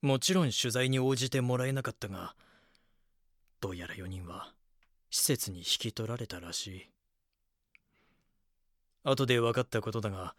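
A man's voice: mid-range voice, a bit bright, no echo, clean studio sound, natural voice. A man playing a brooding reporter who narrates an audio drama in the first person, somber and reflective. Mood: regretful. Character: brooding male reporter narrating his regretful recollection.

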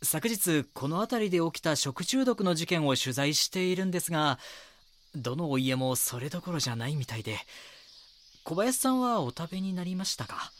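A man's voice: a little high, expressive, moderate pace, fairly bright tone. A man playing an eager, dogged young magazine reporter in an audio drama, polite and deferential. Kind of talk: Japanese audio drama dialogue and narration.

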